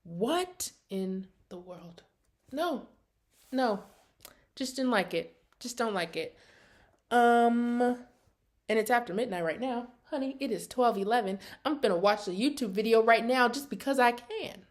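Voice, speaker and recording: An American woman in her early twenties chatting casually to her vlog viewers in an animated, sassy, slangy storytelling way. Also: a little high, a little slow. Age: early 20s. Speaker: vlogger.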